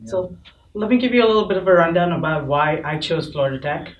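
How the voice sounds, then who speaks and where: A man's voice: a little high, expressive, fast, highs cut off. A man hosting a casual student panel chat, upbeat and friendly, with some fillers. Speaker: host. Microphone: distant mic. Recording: echoey room, mic far off, faint noise.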